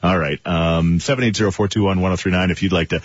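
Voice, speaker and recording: A man's voice: low voice, fairly even intonation, fast talker, no room sound. A man hosting a morning radio show, chatting casually with co-hosts and callers, rambling with plenty of fillers and chuckles. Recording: dull lo-fi broadcast audio.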